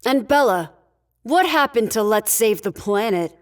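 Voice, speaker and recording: A dry, authoritative leader. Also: female voice, a little high, animated, unhurried. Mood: dryly sardonic. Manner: dry, commanding. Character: sardonic, authoritative female leader. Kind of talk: scripted sci-fi audio drama.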